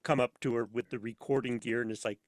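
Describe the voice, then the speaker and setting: A man chatting casually as an interview guest, telling anecdotes in an animated way and acting out bits of dialogue. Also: mid-range voice, fast, dry recording, clean studio sound. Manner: animated storyteller, acts out imagined dialogue. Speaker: podcast guest, sound designer for audio dramas. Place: inside a studio.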